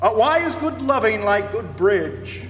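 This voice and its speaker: A man's voice: high-pitched, sing-song, unhurried, a bit bright, exaggerated voice. A man playing a pompous, boozy old-time radio comedian host, with florid, mock-gallant talk. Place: stage in a hall. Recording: echoey hall, clear background noise.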